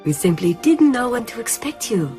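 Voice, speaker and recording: An actress playing a gushing, over-polite nurse who acts as a genteel hostess in a radio drama. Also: female voice, fairly high pitch, sing-song, normal speed, over music. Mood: effusively welcoming.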